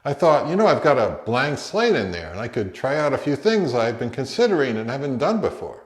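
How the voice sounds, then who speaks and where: A middle-aged man narrating a hi-fi vlog in a conversational, measured, explanatory way. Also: medium pitch, big pitch swings, echoey room. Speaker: hi-fi YouTuber. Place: indoors in a home room.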